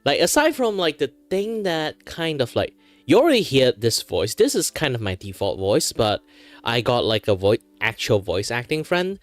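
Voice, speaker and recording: Man, 30s, from Malaysia, native Chinese speaker, casual chatty streamer, lively pitch, dry close sound. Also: moderate pace, no echo. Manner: chatty, filler-heavy, laughs often. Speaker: streamer and aspiring voice actor. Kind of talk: casual chat while drawing on stream.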